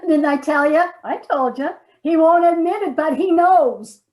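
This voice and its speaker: A woman's voice: high voice, measured pace, a little mellow, low-quality recording. A woman playing a nagging, self-righteous wife in a comedy sketch, dramatic and exasperated, often repeating herself. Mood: smug. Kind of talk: readers theater comedy sketch.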